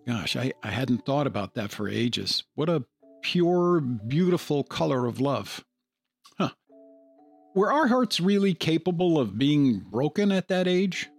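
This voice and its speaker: A man's voice: a little high, very animated, measured pace. A man moderating a reflective discussion in a thoughtful, musing manner. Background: music.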